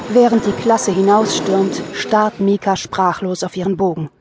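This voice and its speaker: A woman's voice: medium pitch, steady tone, normal speed, background noise. A woman narrating an audio drama in a scripted storytelling style.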